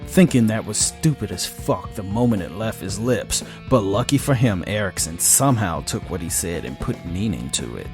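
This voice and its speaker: Low-voiced man narrating over guitar music. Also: big pitch swings, moderate pace, hardly any pauses. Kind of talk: scripted dramatic story narration.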